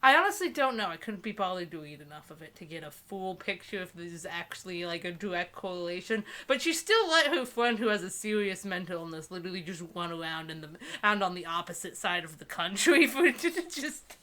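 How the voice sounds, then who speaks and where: Bright-voiced woman reading with sarcastic asides. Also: fairly low pitch, very animated, a little fast, few pauses. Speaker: co-host of a comedic book review show. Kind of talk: mocking dramatic reading with casual commentary.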